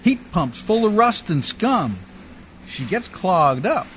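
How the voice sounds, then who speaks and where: A man acting in a radio play as a rough, good-natured, hard-drinking Canadian riverboat skipper with a folksy, deferential, ungrammatical drawl. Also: sits high, very animated, slow, fairly bright tone, slight background noise, heavily acted. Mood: sheepish, folksy.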